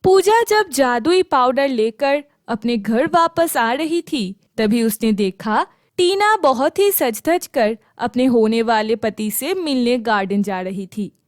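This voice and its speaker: Woman narrator, high pitch, slow pace, scripted children's tale, dry studio-like sound. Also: expressive, no room sound.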